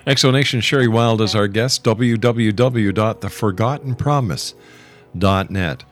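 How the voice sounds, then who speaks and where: A man's voice: on the low side, measured pace, soft background music. Man hosting a radio interview. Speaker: radio host. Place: broadcast studio.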